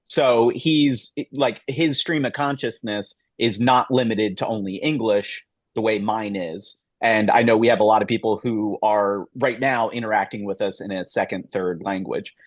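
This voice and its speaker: A man's voice: fairly low pitch, steady tone, normal speed, no room sound. Man moderator, hesitant and rambling, dry close laptop mic. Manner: rambling, hesitant, many fillers and restarts. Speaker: panel moderator. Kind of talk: video call panel discussion. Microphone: laptop mic on video call.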